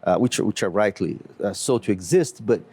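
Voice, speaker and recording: A man from Brazil with a Brazilian accent, a company CEO explaining his business in an interview in a measured way with frequent fillers. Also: lowish voice, lively intonation, dark tone, slightly rough.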